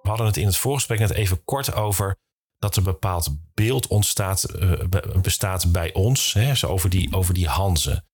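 A man hosting a podcast in a casual interview style, halting and repeating words as he forms questions. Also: low voice. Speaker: podcast host.

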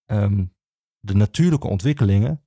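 A man explaining history as an interview guest, speaking haltingly with many filled pauses. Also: on the low side, animated, mellow, no room sound, clean studio sound. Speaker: archivist, podcast guest.

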